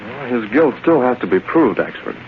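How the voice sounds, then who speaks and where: An actor playing a brisk, authoritative young American newspaper publisher in an old-time radio drama, with clipped delivery. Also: male voice, mid-range voice, big pitch swings. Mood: probing. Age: young adult. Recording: muffled, noisy vintage radio recording.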